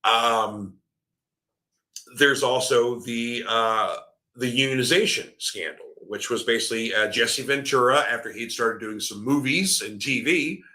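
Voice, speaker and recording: A man's voice: mid-range voice, a little slow, sharp tone. A middle-aged man hosting a live-streamed wrestling talk show, rambling and opinionated with lots of fillers, his voice slightly scratchy from a cold. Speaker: wrestling podcast host.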